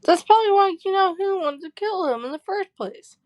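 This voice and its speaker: Gossipy, insistent school kid played by a woman, high and very lively, dry audio-drama sound. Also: fairly quick, dry recording, lo-fi sound. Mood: insistent, suspicious. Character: gossipy, insistent young schoolchild convinced of rumours. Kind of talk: audio drama character acting.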